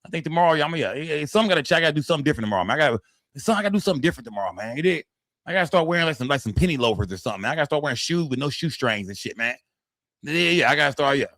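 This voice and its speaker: Guy in his 40s running a live stream, talks fast and loose, keeps saying man and fam, dry close sound. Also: on the high side, expressive, a bit bright, dry recording. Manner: loose, profane, repeats phrases with tag words like "man" and "fam". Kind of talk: casual live-stream chat, reading the chat aloud.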